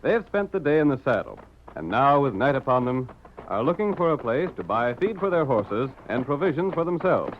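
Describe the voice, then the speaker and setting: A man's voice: medium pitch, big pitch swings, moderate pace. A man narrating a western radio drama in a grand, declamatory old-time announcer style. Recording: dull, hissy old radio recording.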